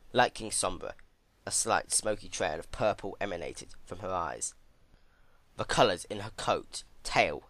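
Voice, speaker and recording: A man giving a dramatic scripted narration of a dark fantasy story. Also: low voice, no echo.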